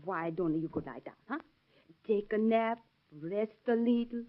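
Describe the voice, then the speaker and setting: An actress plays an anxious grandmother in a vintage radio drama. She speaks broken English in a mid-pitched voice, gently nagging and full of concern. The recording is old and muffled. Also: unhurried, lightly acted. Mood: worried, coaxing. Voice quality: broken English with extra syllables. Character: anxious, caring immigrant grandmother and mother-in-law. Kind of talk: 1930s radio drama acting.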